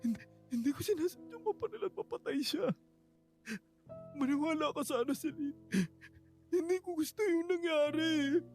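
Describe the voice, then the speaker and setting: A man's voice: very high-pitched, normal speed, creaky, pauses often, darkish tone. A man playing a guilty, pleading figure, apologetic and anguished in melodramatic radio drama style. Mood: desperate pleading. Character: guilty, pleading man confessing he killed his friend. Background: background music.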